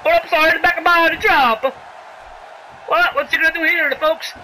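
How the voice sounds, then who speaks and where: Over clear background noise comes an extremely high-pitched, excitable wrestling commentator with an Italian accent, a man doing a comic act. He calls the action in bursts of exclamation, bumbling and tripping over his own play-by-play. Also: normal speed, exaggerated voice, rough recording. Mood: animated, bumbling.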